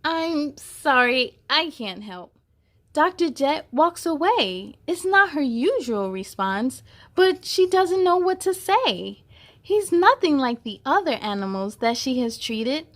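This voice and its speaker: Slow, high-pitched woman reading to children. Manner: animated, addresses young listeners with questions and exclamations. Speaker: host of children's read-aloud story time. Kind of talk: expressive picture book read-aloud.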